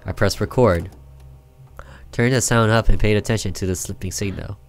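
A man's voice: lowish voice, moderate pace. A man reading a horror visual novel aloud as a gaming video narration, in a storytelling style.

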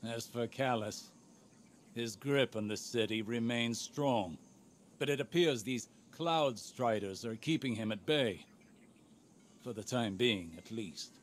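A man voicing a grave scholar and mentor in a video game, speaking with deliberate seriousness and urgency. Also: mid-range voice, slight background noise, close to an everyday voice. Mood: grave, intent. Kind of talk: video game dialogue acting.

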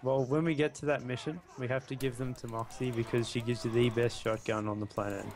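Young man, deep voice, chatty, rambling gamer talk, headset. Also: steady tone, fairly quick. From Australia. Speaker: co-op gaming partner. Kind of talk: casual co-op gameplay chat.

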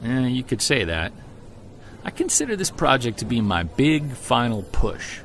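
An actor playing a driven, weary Bigfoot investigator in an audio drama, earnest and conversational. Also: male voice, mid-range voice, very animated, a little slow, a little hiss or hum. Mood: determined. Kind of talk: audio drama, first-person narration and dialogue.

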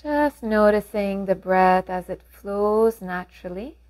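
Female meditation guide, mid-pitched voice, very slow calm delivery, gently instructive, generous pauses.

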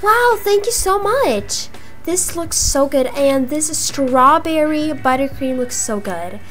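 A young woman acting out improvised roleplay dialogue for a video game, in an animated manner with drawn-out words. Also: sits high. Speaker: gaming video creator voicing roleplay characters. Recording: music behind the voice. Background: music.

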